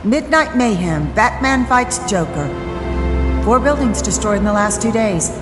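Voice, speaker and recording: A woman's voice: medium pitch, animated, measured pace, natural voice. An actress playing a confrontational, dry-witted female police commissioner in an audio drama, with curt, pointed lines. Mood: dry, challenging. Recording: echoey cavern reverb, loud music.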